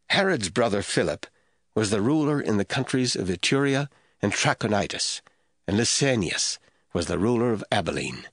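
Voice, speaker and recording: Low-voiced man narrating scripture. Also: fairly bright tone, breathy edge, no room sound, studio quality. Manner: measured, storytelling.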